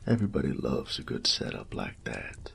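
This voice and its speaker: A man playing a cocky, sadistic bandit captor in a roleplay audio drama, taunting the listener with a breathy, gravelly drawl and folksy swagger. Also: very deep voice, sing-song, moderate pace, a bit bright, a little hiss or hum, heavily acted. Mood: sly, scheming.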